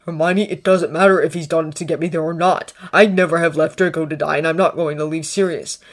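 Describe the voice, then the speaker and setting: A woman's voice: low-pitched, fairly even intonation, fast. A woman voicing an angry, stubborn teenage boy in a story reading, curt and combative. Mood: defiant. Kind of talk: dramatized fiction reading.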